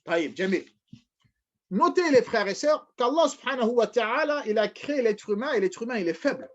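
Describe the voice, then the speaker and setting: A man's voice: extremely high pitch, lively intonation, normal speed. A man giving a religious lecture, repeating phrases, often restarting, and addressing his listeners as brothers and sisters. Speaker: preacher. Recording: dull lo-fi recording.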